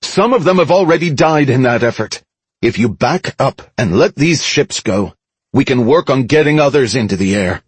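Male narrator as earnest young-hearted soldier: pleading yet firm, pushed higher, audiobook drama. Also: expressive, normal speed, husky, no echo. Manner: emphatic, loud appeals.

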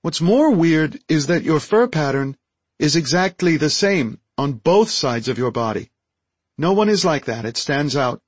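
A man voicing an excitable, frank young furry alien in a squeaky, eager voice for an audiobook story. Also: measured pace, breathy edge, no room sound. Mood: appraising. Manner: eager, blunt, simply worded.

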